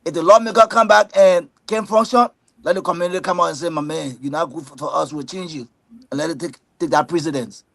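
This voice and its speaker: A man with a fairly high voice, recorded close and dry, laying out his points one by one in a steady rhythm. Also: quick pace, gravelly, dry recording. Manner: list-like, steady, repetitive phrasing. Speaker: panel guest. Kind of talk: prepared statement in a debate.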